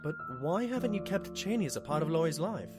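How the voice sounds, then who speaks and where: An actor playing a polite, inquisitive pony, a detective's plain-spoken companion in an audio drama. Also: male voice, fairly high pitch, very animated, fairly quick, music in the background. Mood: curious. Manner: courteous, reassuring, plain-spoken.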